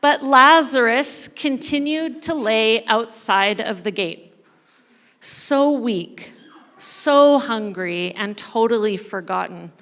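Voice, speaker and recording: High-voiced woman storyteller in a reverberant hall. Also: animated, takes it slowly, fairly bright tone. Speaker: church storyteller leading a live dramatic reading. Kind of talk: animated storytelling to a live audience, with asides to participants. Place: large indoor church room. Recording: reverberant hall, live event sound.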